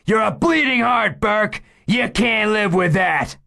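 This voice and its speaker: A man voicing a panicked, desperate male gunman who yells in a shrill, frantic way. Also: expressive, unhurried, exaggerated voice. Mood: threatening.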